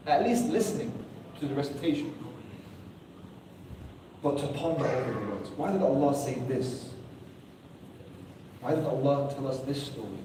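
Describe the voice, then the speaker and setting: A man's voice: fairly high pitch, mellow, a little hiss or hum. A man giving a sermon to a room of listeners, earnest and full of rhetorical questions, now and then reciting Arabic verses. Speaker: preacher. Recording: echoey hall, distant mic.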